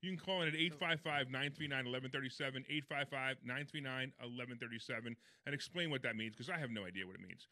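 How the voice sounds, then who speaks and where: Man, radio co-host, quick talker, very bright tense voice, dry close sound. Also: mid-range voice, dry recording. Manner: fast, jokey, playful teasing. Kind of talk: casual radio chat.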